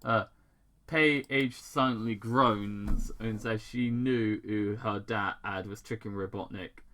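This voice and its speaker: A man reading a story aloud as an amateur narrator, halting and stumbling over his words, with casual asides. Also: on the low side, fairly even intonation, bright, forceful tone. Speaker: reader of fan fiction.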